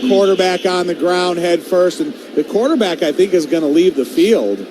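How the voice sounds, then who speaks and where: A man with an American accent gives football analysis alongside a live broadcast. His pitch is high for a man. Clear ambient noise runs behind his voice. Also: expressive, moderate pace. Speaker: color commentator.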